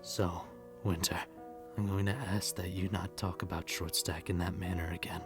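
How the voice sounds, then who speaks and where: A man's voice: deep voice, flat delivery, normal speed, music in the background. An actor playing a bitter, unhinged widowed middle-aged father, sardonic and menacing, in a dramatic comic dub. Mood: brooding, menacing.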